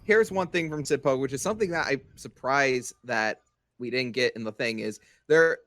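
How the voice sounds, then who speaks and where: A man's voice: medium pitch, normal speed, a bit bright, no room sound. A man hosting a podcast, putting questions to a guest in casual chat. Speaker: podcast host asking questions.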